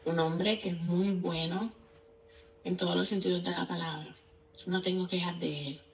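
A woman's voice: fairly low pitch, fairly even intonation, moderate pace. A woman with a Puerto Rican accent calling a show, speaking hesitantly and earnestly as she confides in the host. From Puerto Rico. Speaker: caller. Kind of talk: personal confession on a call-in show. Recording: distant phone caller, faint noise.

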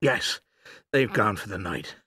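A man's voice: lowish voice, lively intonation, unhurried, pushed and bright, slightly rough, dry recording, clean studio sound, exaggerated voice. A man voicing a loving father in an audiobook story, hurt and trapped, urgent but reassuring to his child. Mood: pained, steady.

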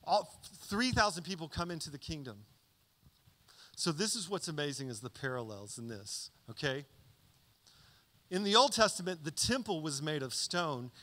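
A man's voice: a little high, normal speed. Man preaching in a reverberant church hall. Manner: conversational, animated teaching with frequent "okay?" check-ins. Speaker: pastor. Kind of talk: sermon. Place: large church stage. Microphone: handheld mic.

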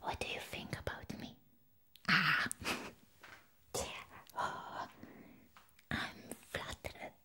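A woman's voice: sharp tone, heavily acted. A woman voicing a sweet, doting and possessive vampire friend in an ASMR roleplay, murmuring tenderly and affectionately. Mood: bashful, delighted. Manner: tender, breathy, slow affectionate murmuring with long pauses. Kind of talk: whispered ASMR personal-attention roleplay.

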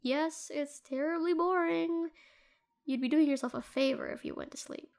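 A woman's voice: sits high, moderate pace, gravelly. A woman playing a protective, tender doctor who looks after an injured ex-lover in an intimate audio roleplay, earnest in manner. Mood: tender, soothing. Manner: earnest, soothing, hushed at times, fierce when protective. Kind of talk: scripted intimate audio roleplay addressed to the listener.

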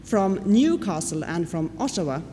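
A woman's voice: on the low side, very animated, normal speed, mellow. Woman lecturing in an echoey hall. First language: Swedish. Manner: explanatory, with frequent fillers and questions to the audience. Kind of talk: conference lecture. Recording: reverberant hall with faint noise.

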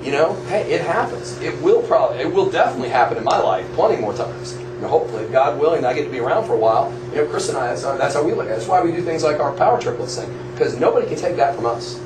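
A man and guest drummer, talking at a clinic to a live audience, rambling and animated with frequent restarts. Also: a little high, fast, hardly any pauses. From Florida. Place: clinic room. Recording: echoey room, mic far off, noisy.

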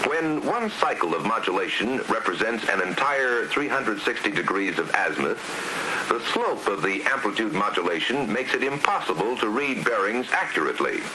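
A man with an American accent narrating a scripted 1950s instructional film in a formal, precise, didactic manner. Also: on the low side. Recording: hissy 1950s film soundtrack. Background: steady hiss.

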